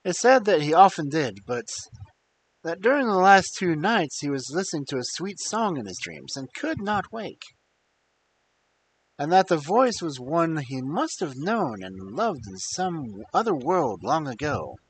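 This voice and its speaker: A man's voice: on the high side, sing-song, measured pace, vocal fry, breathy edge, poor audio. A man narrating fairy tales expressively as the host of a storytelling stream. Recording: faint background hiss.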